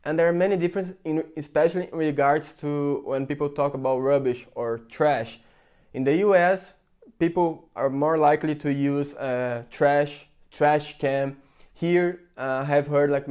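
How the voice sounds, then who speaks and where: A man's voice: on the high side, darkish tone. Chatty Brazilian man vlogging. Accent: Brazilian Portuguese. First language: Portuguese. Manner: chatty, rambling, with many fillers and restarts. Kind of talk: casual opinion vlog. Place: in England.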